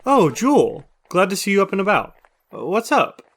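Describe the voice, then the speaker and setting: A man's voice: high-pitched, wildly swooping pitch, moderate pace, a bit bright, exaggerated voice. A man playing a chatty, upbeat survivor who runs a radio show in an audio drama, talking casually and teasingly.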